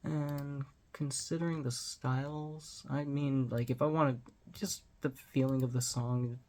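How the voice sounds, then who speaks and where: A man's voice: mid-range voice, fairly even intonation, measured pace, darkish tone. A Texan man in his forties talking casually to a close camera in a small home room, rambling and self-deprecating. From Texas. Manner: rambling, self-deprecating, drops to near-whisper at times. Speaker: vlogger. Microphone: phone or webcam close to face.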